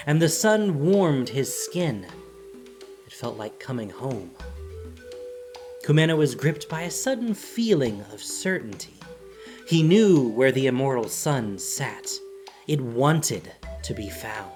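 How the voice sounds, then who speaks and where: A man narrating a fantasy story as scripted audio drama, in a dramatic, deliberate storytelling manner. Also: mid-range voice. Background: music.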